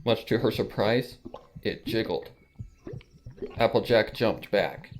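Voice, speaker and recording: A man's voice: fairly low pitch, takes it slowly, a little mellow, a little hiss or hum. A man reading scripted fiction as a storybook narrator.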